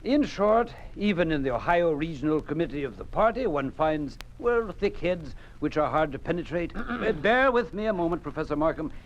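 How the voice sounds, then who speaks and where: An actor in a 1950s radio drama playing a genial, talkative Communist party secretary with a smooth, persuasive manner. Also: male voice, a little high, very animated, normal speed, hardly any pauses. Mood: pointed, coaxing. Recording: muffled, noisy old 1950s radio recording.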